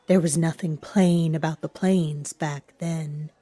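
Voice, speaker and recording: A woman playing a knowing, darkly wry storyteller, narrating an audio drama in a measured, ominous way and addressing the listener directly. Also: deep voice, steady tone, warm tone, no echo. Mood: somber, knowing. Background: music.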